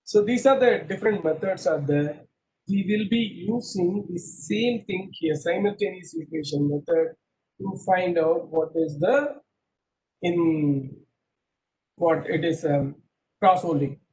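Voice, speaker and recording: A man's voice: sits high, measured pace, darkish tone, slight background noise, rough recording. A middle-aged man teaching an online class over a video call, quizzing students and repeating himself, mixing English with Hindi. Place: small room indoors. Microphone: laptop webcam on a video call.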